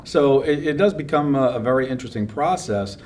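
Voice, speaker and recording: A man's voice: medium pitch, lively intonation, brisk, a little mellow, recorded from a distance, slight background noise. Wordy explanatory man, slightly off-mic. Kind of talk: casual interview talk.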